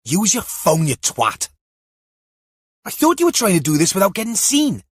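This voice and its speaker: Man doing a whiny, cocky teenage lad, husky. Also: high voice, wildly swooping pitch, normal speed, dry recording, clean studio sound, pitched above the performer's own voice, full character voice. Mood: annoyed. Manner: whining, swearing, goading. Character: cocky, foul-mouthed older teenage boy, impatient and reckless.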